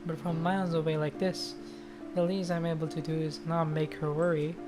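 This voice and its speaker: Low-voiced woman streamer reading aloud. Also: little pitch movement, normal speed. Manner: casual, sweary. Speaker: game streamer. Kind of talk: reading a visual novel aloud with casual asides. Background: loud guitar music.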